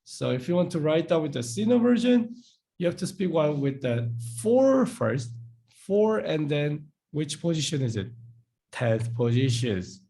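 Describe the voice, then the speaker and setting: A male language teacher speaks English. His voice sits fairly high but sounds mellow and dark, with a gravelly edge. His melody swings widely as he walks through examples patiently. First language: Korean. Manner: lively, explains patiently, repeats Korean words slowly, acts out short dialogues. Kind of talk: live online class.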